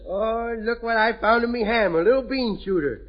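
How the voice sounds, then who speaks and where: A man's voice: very high voice, normal speed. A man playing a mischievous little boy in 1940s radio comedy, talking in childish, ungrammatical baby talk. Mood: silly. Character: mischievous little boy Junior, bratty and cheeky. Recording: noisy lo-fi vintage radio broadcast.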